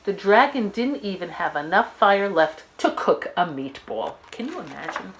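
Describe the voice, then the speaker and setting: A woman's voice: mid-range voice, very animated, moderate pace, slight background noise. A woman storyteller reading a children's book aloud, expressively and with dramatic emphasis.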